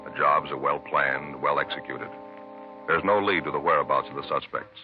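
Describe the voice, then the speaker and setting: A man announcing in a scripted 1950s radio style, deliberate and emphatic. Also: extremely low pitch, steady tone. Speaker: radio announcer and cigarette pitchman. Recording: muffled old radio recording. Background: music.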